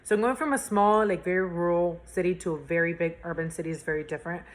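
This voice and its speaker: Chatty young woman, lower voice. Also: fairly even intonation, quick pace. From Spain. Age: young adult. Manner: chatty, many fillers and restarts. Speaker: guest. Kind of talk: casual interview chat.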